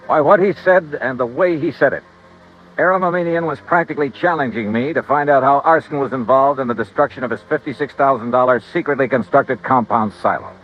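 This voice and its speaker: An actor playing a brisk, confident, wry insurance investigator in 1950s radio style, with clipped delivery. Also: male voice, mid-range voice, faint music behind, natural voice. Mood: brisk narrating. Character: brisk, hard-boiled male freelance insurance investigator. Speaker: lead actor in a radio detective drama. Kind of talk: 1950s radio acting, first-person narration and dialogue. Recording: muffled old radio recording.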